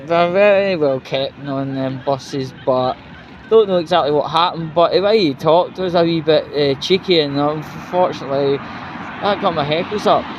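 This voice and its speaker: Higher-voiced British man, noisy lo-fi recording. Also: moderate pace. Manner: sweary, indignant, sarcastic. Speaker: vlogger. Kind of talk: casual rambling rant to viewers. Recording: dull lo-fi recording with loud outdoor traffic noise.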